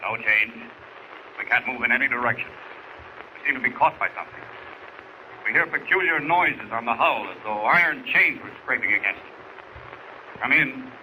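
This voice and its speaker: Stressed sub officer, terse reports, really piercing tense voice, old 40s radio with slight noise. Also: male voice, mid-range voice, expressive, normal speed, pauses often, sharp tone. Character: strained, terse male submarine officer reporting trouble. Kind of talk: 1940s radio serial acting. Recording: faintly noisy vintage radio recording.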